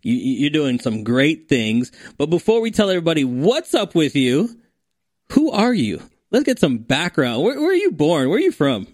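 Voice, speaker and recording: Male host, hype energy, stammers and repeats, higher voice, dry close mic. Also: wildly swooping pitch, normal speed, darkish tone, no room sound. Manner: enthusiastic, repeats words, hypes the guest. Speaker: podcast host. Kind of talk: casual interview hosting.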